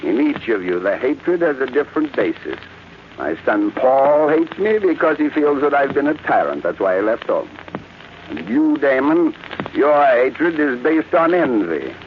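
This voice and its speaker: A muffled, noisy vintage radio recording of a bitter old patriarch. He taunts his family with sardonic relish, his pitch rising and falling theatrically. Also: big pitch swings, a little slow. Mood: scornful. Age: older. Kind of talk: old-time radio drama acting.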